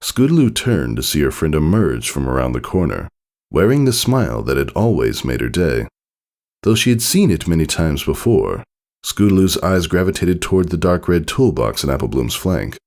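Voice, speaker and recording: A man reading a scripted story aloud as an expressive narrator. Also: very deep voice, normal speed. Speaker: fan fiction reader.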